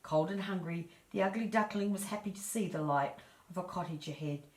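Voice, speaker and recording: Female storyteller, low register, measured and expressive children's read-aloud, roomy echo on the recording. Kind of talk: reading a children's fairy tale aloud. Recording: echoey room.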